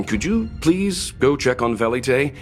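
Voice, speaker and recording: A man playing a calm, polite, dependable crew member in a video game, with a gravelly voice. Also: mid-range voice, takes it slowly, faint music behind. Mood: polite, concerned.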